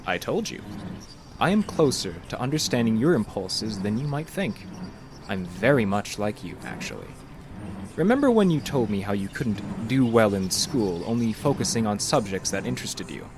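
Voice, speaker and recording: Sinister, condescending counselor, noisy background. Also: male voice, fairly low pitch, very animated. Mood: coolly confiding. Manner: condescending, deliberate, taunting. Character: sinister, calmly menacing male counselor secretly drugging his patient. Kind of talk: horror audio drama acting.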